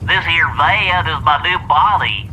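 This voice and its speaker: A man's voice: on the high side, measured pace, bright, forceful tone, a little hiss or hum, poor audio. A man voicing a small, demented, vengeful animatronic beaver in an audio drama, taunting and theatrical. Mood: gloating, vengeful. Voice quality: eerie laugh.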